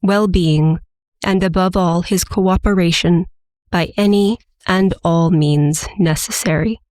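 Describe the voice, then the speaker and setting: A woman playing a businesslike, weary agent who conducts a formal interrogation in an audio drama, in measured official phrasing. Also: fairly low pitch, darkish tone, no room sound. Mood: formal, detached.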